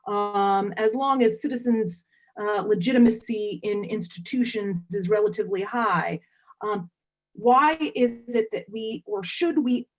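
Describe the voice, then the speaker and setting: A woman scholar gives academic panel commentary. She speaks in an earnest, searching way and pauses often with hesitant fillers. Her voice has an ordinary, mid pitch.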